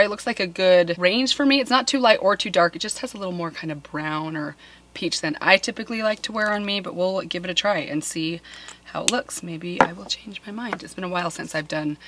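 A woman in her thirties with a cold, chatting enthusiastically as she reviews makeup to camera, dropping to soft murmurs when she reacts. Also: fairly low pitch. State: has a cold, voice deeper than usual. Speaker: beauty vlogger. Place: small indoor filming set with plants.

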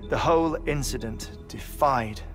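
An actor playing an earnest, middle-aged scientist haunted by his lost family, speaking in a measured, scholarly way in a video game. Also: medium pitch, a bit bright, soft background music, natural voice. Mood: grave, earnest. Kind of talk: video game cutscene acting.

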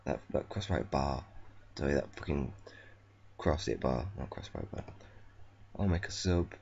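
A man rambling through casual commentary on a football management game, flat and monotone because his throat feels off, swearing and correcting himself. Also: extremely low pitch, measured pace, creaky, dull audio, a little hiss or hum. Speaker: gameplay commentator. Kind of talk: casual let's-play commentary.

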